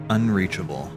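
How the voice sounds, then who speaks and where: A man streaming casual, rambling theory commentary, thinking aloud haltingly, correcting himself and swearing casually. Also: fairly low pitch.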